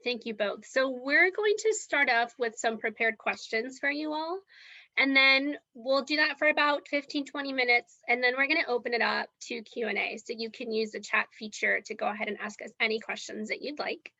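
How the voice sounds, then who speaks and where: Young woman hosting a student panel, upbeat, bright tone, kinda dull dry call audio. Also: a little high, moderate pace, no echo. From Los Angeles. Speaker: panel moderator. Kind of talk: casual moderated webinar.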